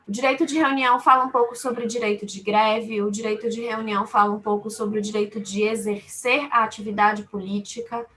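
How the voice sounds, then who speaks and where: A woman's voice: mid-range voice, level tone, a little fast, hardly any pauses, a bit bright, slight background noise, rough recording. A woman with a Salvador Brazilian accent giving an unscripted explanatory webinar talk in a didactic way. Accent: Brazilian, Salvador (Bahia). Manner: didactic, explains with everyday examples and repeated phrasing. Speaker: panel speaker, law firm lawyer.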